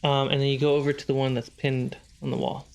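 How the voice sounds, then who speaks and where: A man's voice: mid-range voice, flat delivery, quick pace, creaky. A man running a tabletop roleplaying game as game master, narrating scenes and answering players. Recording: music and rain sound effects behind. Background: atmospheric music, rain.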